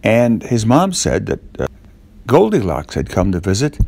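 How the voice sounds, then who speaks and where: A man telling a children's story off the cuff, in a halting way with little questions to the listener. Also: lowish voice, wildly swooping pitch, vocal fry, a little mellow, no room sound.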